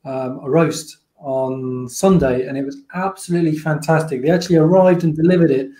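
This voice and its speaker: A man's voice: fairly high pitch, fairly quick, dark tone. A British man co-hosting a podcast, chatting casually and telling anecdotes with frequent restarts. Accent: British English. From Britain. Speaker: podcast co-host. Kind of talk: casual conversation.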